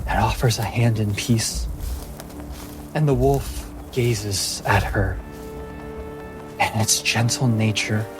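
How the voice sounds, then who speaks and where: Deep-ish guy game mastering, super slow dramatic storytelling, music loud behind him. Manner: slow, deliberate, pausing phrasing. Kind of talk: dramatic tabletop fantasy narration.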